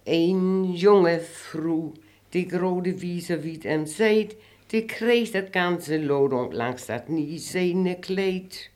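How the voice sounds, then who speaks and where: An older woman with a Low German accent slowly reciting rhymed dialect poems in a measured, sing-song way. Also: darkish tone. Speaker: interviewee reciting dialect verse.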